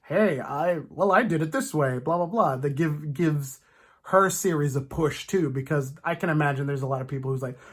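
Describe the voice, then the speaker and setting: A man co-hosting a fan discussion in a casual, animated way, often slipping into imagined quotes as he talks. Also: fairly high pitch, moderate pace. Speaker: co-host of a fan discussion show.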